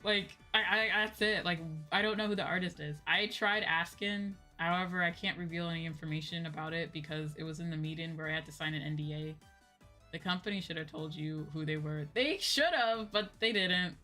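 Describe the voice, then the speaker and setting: A woman streamer chatting casually with her viewers in an animated, informal way, often checking in as she goes. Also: lowish voice, fairly quick. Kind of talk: casual livestream chat. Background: background music.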